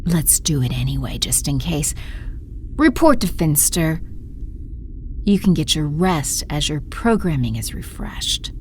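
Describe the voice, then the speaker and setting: A woman playing an imperious evil empress, coldly commanding with breathy half-whispers, in an audio drama. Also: sits low, big pitch swings, unhurried, a little hiss or hum. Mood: threatening.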